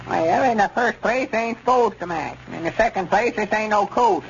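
A very creaky, high old voice on a muffled vintage radio recording: a rural Southern grandpappy. He is proud and insistent, a vain country dandy from a comedy show. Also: moderate pace. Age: older. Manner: boastful, insistent. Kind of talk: 1930s radio comedy acting. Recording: dull, noisy 1930s radio recording.

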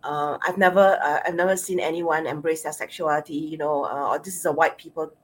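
A woman's voice: sits low, fairly even intonation, a little fast, a little hiss or hum. A woman with a Singaporean accent hosting an interview as a sexologist, speaking with frequent uhs and repeated words. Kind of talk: interview host.

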